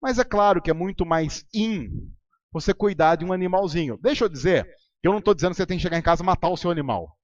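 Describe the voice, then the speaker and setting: A man's voice: sits high, lively intonation, fast talker, a little mellow, no echo, dull audio. A man preaching a sermon as pastor in a Brazilian Portuguese accent, talking conversationally with sarcastic asides and ironic voices. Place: church hall.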